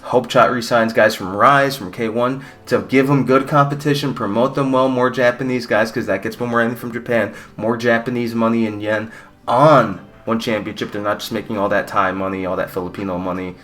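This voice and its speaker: A man's voice: mid-range voice, steady tone, a little fast, a bit bright, faint music behind. A young man from Mexico giving a blunt, rambling, opinionated fight recap with casual swearing. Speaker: combat sports YouTuber.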